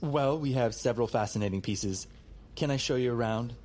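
A man's voice: medium pitch, brisk, darkish tone, close to an everyday voice. A man playing a polite, formal, easily flustered small-town museum guide in an audio drama. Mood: polite, eager.

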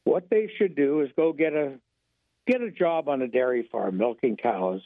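Older male caller, hoarse, folksy and indignant, over a tinny phone line. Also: mid-range voice, animated, normal speed, no echo. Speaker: radio caller.

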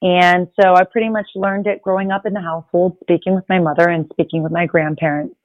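A woman's voice: fairly low pitch, level tone, quick pace, no room sound. A young adult woman, a native Spanish speaker, talking as a sports reporter guest in an earnest, rambling way with light laughs. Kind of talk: casual conversational interview. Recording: dull lo-fi sound.

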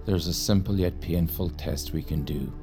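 Actor as deep-voiced smug male interrogator. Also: over music, lightly acted. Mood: calm, condescending. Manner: measured, condescending. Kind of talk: naturalistic audio fiction acting.

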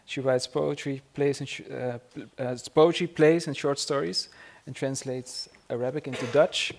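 Gravelly-voiced male moderator. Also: medium pitch, steady tone. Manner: halting, with fillers. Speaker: event moderator. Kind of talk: introducing a guest on stage.

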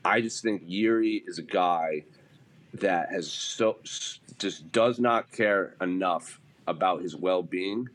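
Deep-voiced male sports panelist. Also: slow. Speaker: panelist on an MMA preview show. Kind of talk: casual sports discussion, fight prediction.

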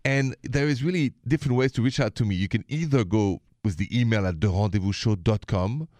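Low-voiced French man hosting radio. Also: brisk, darkish tone, no echo. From Paris, France. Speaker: radio host giving relationship advice. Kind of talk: conversational call-in radio talk.

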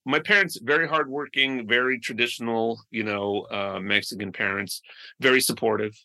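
A man chatting as a podcast guest, telling stories with animated restarts and trailing phrases. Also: medium pitch, moderate pace, creaky. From United States. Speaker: guest musician. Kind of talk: casual interview chat.

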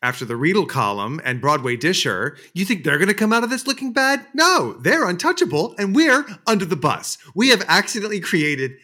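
A man's voice: sits high, very animated, brisk, few pauses, sharp tone, exaggerated voice. A man acting as a flustered, sarcastic musical writer in a comic audio drama, exasperated and dramatic. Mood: bitterly dramatic.

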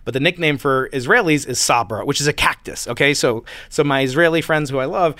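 A man talks through his anecdotes with animated energy, pushing back on ideas as a guest in an interview. His voice sits in a middle register, and the recording is dry and close. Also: medium pitch, fairly quick, no room sound. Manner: animated, storytelling, pushes back. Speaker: physicist guest. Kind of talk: conversational interview. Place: university building.

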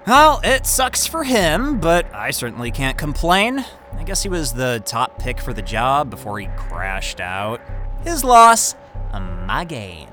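An actor playing a cocky, talkative young job candidate who stammers and rambles in a naturalistic audio drama. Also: male voice, medium pitch, huge pitch swings, takes it slowly, over music. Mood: excited, smug. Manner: stammering, rambling, self-interrupting.

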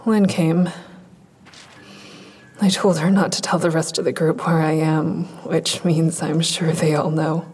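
A guarded psychiatric patient in an audio drama, dryly sardonic and resigned. Her voice is low and husky, with a flat, deadpan delivery. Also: measured pace. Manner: dry, deadpan.

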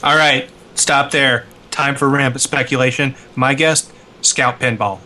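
A man's voice: mid-range voice, steady tone, measured pace, pushed and bright. A man co-hosting a casual gaming podcast, bantering wryly and steering the conversation. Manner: wry, takes charge of the talk. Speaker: podcast co-host. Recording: noisy mic with clicks.

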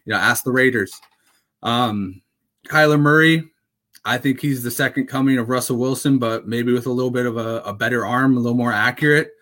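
A young man hosting a casual fantasy football talk, rambling with lots of fillers, his voice going a little raspy. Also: mid-range voice, brisk, pushed and bright. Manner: rambling, full of fillers and restarts. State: slightly raspy voice. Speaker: fantasy football podcast host.

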